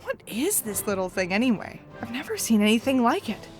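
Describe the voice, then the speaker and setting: A woman playing a big, brash, overeager warrior girl in an audio drama, theatrical and blurting, gruff when she barks. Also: a little high, sing-song, a little fast, faint music behind. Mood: gushing. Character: large battle-scarred warrior girl, brash, overeager, childishly affectionate.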